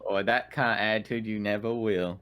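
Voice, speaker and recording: Male gamer, fairly low voice, casual joking banter. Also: fairly even intonation, normal speed, background noise. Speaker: player in a tabletop game.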